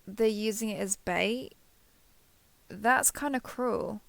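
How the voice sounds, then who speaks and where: A woman's voice: mid-range voice, takes it slowly, creaky, no room sound. A woman playing a lonely, clingy alien posing as a human survivor in an intimate audio roleplay, speaking hesitantly and coaxingly to the listener. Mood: nervous, earnest. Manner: hesitant, coaxing, softly over-eager to please.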